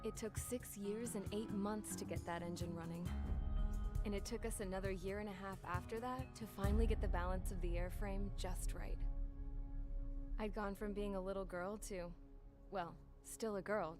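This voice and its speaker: An actress plays a young woman pilot and mechanic looking back on her life in a game voice-over. She is wry, terse and world-weary, with a mid-range voice. Loud music plays beneath her. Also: medium pitch, fairly even intonation, moderate pace, lightly acted. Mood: wistful. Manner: terse, reflective, plain-spoken. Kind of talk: scripted first-person voice-over.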